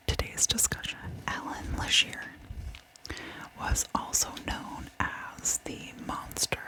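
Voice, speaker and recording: Slow, whispering ASMR woman. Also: low-quality recording. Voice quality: breathy unvoiced whisper. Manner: soft-spoken, repeats words gently. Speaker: host of a true crime ASMR channel. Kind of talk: whispered ASMR, chatty to viewers.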